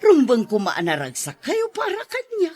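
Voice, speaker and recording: Expressive, husky middle-aged Ilocano mother played by a woman. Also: sits high, normal speed, exaggerated voice. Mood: warm, hesitant. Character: widowed mother around fifty, bashful about new romance. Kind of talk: radio drama acting.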